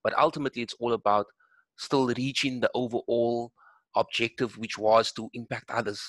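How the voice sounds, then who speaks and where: A man hosting a podcast interview, asking questions in a measured, encouraging way. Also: mid-range voice, steady tone, fairly bright tone, dry recording, poor audio. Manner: measured, encouraging, uses um fillers. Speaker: podcast host.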